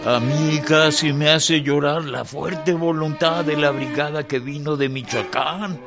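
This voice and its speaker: An actor playing a heartfelt Mexican revolutionary scout in a radio drama, earnest and colloquial. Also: male voice, fairly high pitch, steady tone, measured pace, over music. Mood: moved. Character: heartfelt revolutionary scout, male, moved by comrades' bravery.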